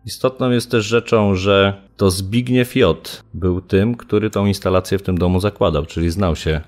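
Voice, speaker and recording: Very deep-voiced man, halting explainer. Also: fairly even intonation, a little mellow. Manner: halting, hesitant, with many filled pauses. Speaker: interviewed commentator on a criminal case. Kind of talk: unscripted explanatory interview talk.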